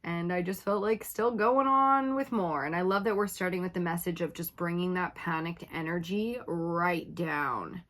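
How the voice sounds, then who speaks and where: A woman reading tarot for her viewers in a casual, chatty way, her voice slightly raspy from hours of talking, often checking in with "okay?". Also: fairly low pitch, fairly bright tone. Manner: chatty, laughing, uses filler like "okay?". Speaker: tarot reader.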